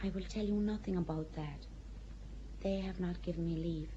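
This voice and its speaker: An actress playing an earnest young peasant girl who speaks plainly and with calm, quiet defiance in a radio drama. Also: fairly low pitch, normal speed, highs cut off, slight background noise, natural voice. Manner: plain-spoken, calmly certain, quietly defiant.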